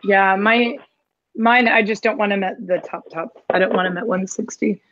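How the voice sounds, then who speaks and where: A woman's voice: medium pitch, steady tone, normal speed. A middle-aged woman chatting casually and playfully in an online group. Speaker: community chat participant. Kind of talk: casual chat. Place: online voice chat. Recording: dull noisy lo-fi voice chat, clicks.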